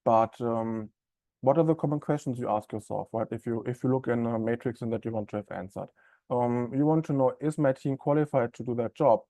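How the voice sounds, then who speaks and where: A man from Germany with a German accent presenting a live software webinar in a friendly, conversational way with many ums and uhs. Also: mid-range voice, a little fast, no room sound, clean studio sound.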